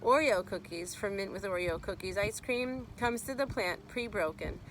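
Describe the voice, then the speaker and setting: A woman's voice: mid-range voice, normal speed, a little hiss or hum. A woman reading a children's nonfiction book aloud as a teacher would, explaining and speaking to young listeners.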